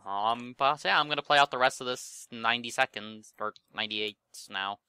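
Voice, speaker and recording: Guy gamer joking around, really bright clear voice, close dry sound. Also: mid-range voice, normal speed, dry recording. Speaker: gamer co-host commenting while playing. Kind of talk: casual joking chat.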